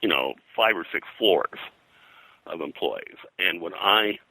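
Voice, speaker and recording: An older man with a very low-pitched voice and a hard, bright edge, recorded dry and close. He reminisces in a casual interview in a rambling way, often halting, restarting and repeating phrases. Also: animated, creaky, no echo, rough recording. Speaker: interview guest, veteran comics production artist.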